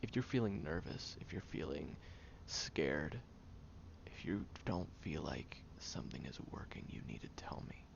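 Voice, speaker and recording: A man playing a guarded, prickly young tsundere caring for a sick friend in an intimate audio roleplay, speaking haltingly, stern yet caring, often hushed. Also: rumbling low, expressive, gravelly, in a whisper, dull audio, exaggerated voice. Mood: hushed, concerned.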